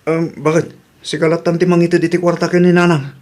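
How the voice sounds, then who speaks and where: A man acts out a husband and father in an Ilocano radio drama. His voice is on the higher side for a man, with an Ilocano Filipino accent. He talks plainly, as someone giving advice to family. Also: level tone, quick pace, darkish tone, close to an everyday voice. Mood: questioning.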